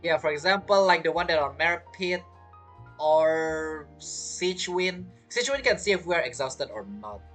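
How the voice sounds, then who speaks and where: A woman's voice: low voice, animated, measured pace, a bit bright, faint music behind. A woman streamer giving casual game commentary, chatty and reacting aloud in short fragments. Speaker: gaming streamer.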